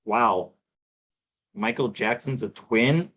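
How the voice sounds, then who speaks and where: Halting middle-aged man, full of fillers. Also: mid-range voice, a bit bright. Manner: halting, repeats words, many fillers. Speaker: co-host reading tarot cards. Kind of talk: casual live-stream chat.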